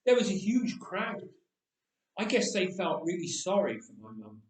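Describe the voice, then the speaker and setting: A man gives voice to a candid teenage boy in a first-person storybook tale. The telling is matter-of-fact and confiding, on the higher side in pitch. It sounds roomy and set back from the microphone. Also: sing-song, measured pace. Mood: candid, chatty. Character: candid thirteen-year-old boy recalling his own death. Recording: echoey room, distant microphone.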